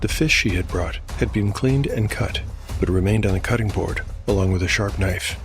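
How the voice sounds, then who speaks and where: A man narrating a scripted dark fantasy audio drama in a measured, dramatic storytelling manner. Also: on the low side. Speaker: narrator and host. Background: music.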